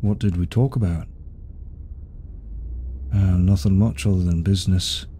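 A man playing a devoted, secretive CEO in an intimate audio roleplay, soft-spoken and husky, with sighs and pauses. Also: low voice, steady tone, a little hiss or hum. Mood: casual, evasive. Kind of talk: intimate ASMR roleplay acting, one side of a dialogue.

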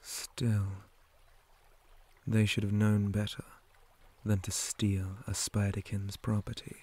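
A man voicing a menacing, possessive male spider monster in an ASMR roleplay, breathy and often whispering, with slow, softly taunting, formal speech. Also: on the low side, monotone. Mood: calmly menacing.

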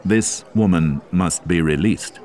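A man voicing a kind male town mayor in an audiobook, speaking in a soft, firm voice. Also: sits low, slow, some chatter behind. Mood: gentle.

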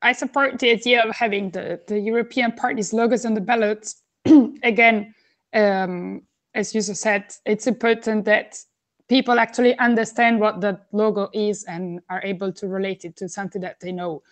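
A woman's voice: medium pitch, steady tone, normal speed. A young woman speaking as a webinar panelist over a video call, earnest and thoughtful as she argues her point. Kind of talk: casual discussion. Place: indoor room. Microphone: video call webcam.

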